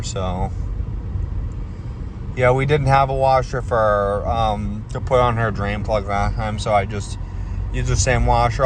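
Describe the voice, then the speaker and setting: Rambling young guy in a car. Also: mid-range voice. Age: 20s. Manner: rambling, repeats himself and restarts sentences. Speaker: vlogger doing car maintenance videos. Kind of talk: casual vlog chat while driving. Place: inside a moving car. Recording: car noise behind the voice.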